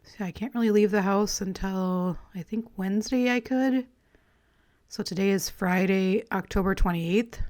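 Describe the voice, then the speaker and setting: Raspy-voiced woman chatting casually. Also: mid-range voice, normal speed. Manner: relaxed, rambling, friendly, with light laughs. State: slightly raspy, clearing her throat after illness. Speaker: crafting vlogger. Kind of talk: casual chatty voice-over while collaging.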